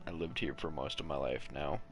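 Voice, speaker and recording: A man's voice: rumbling low, fairly even intonation, brisk. A young man streaming a video game from home, chatty and casual, swearing, laughing and humming to himself. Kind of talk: casual live gameplay chat. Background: game music.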